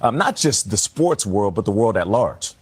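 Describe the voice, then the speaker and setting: A man's voice: on the low side, very animated, moderate pace, breathy edge. A man presenting and interviewing as a morning television news host in an upbeat broadcast style.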